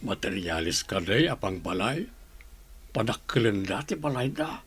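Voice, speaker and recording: A man with a Filipino accent and a husky voice, playing a weary, grumbling farmer husband in a radio drama. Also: fairly low pitch, sing-song, normal speed, a bit bright, soft background music, exaggerated voice.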